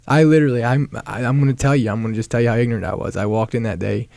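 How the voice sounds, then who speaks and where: A man with a gravelly voice, recounting combat in an interview as a veteran, emphatic and repeating himself. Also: medium pitch, fairly even intonation, rapid-fire, a little mellow, no room sound. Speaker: war veteran being interviewed.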